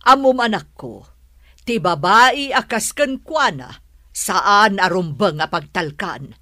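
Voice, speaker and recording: A woman's voice: mid-range voice, lively intonation, slow pace, pushed and bright, no room sound, studio quality. An actress playing a suspicious, disapproving middle-aged mother with a pointed, insinuating manner in a radio drama. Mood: suspicious, scolding.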